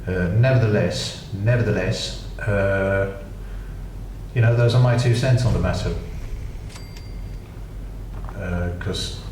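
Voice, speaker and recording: Deep-voiced British man rambling over gameplay. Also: slow, long pauses. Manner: dry, rambling, self-mocking asides. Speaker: gaming channel host. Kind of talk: casual gameplay commentary. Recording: echoey room, distant mic, noisy.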